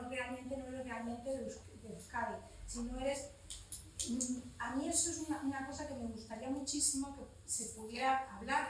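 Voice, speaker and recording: A woman's voice: high voice, a little hiss or hum. A Spanish woman in her forties who talks frankly and swears casually, speaking up from the audience at a public event. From Spain. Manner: blunt and frank, swears casually, many restarts. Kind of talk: impassioned spontaneous public comment. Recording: echoey room, from far off.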